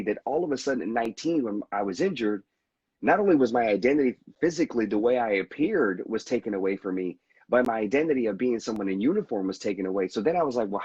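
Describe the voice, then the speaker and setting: A man's voice: medium pitch, darkish tone, breathy edge, no echo, muffled recording. A veteran guest speaking candidly and reflectively about his own experiences, often restarting his sentences as he talks. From United States. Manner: earnest and reflective, with frequent restarts. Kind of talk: candid conversational storytelling.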